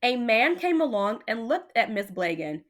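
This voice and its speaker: Female storyteller, teacher type, animated read-aloud delivery, talks straight to the kids. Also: fairly high pitch, normal speed. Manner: addresses child listeners directly, with questions. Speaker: teacher reading a picture book aloud.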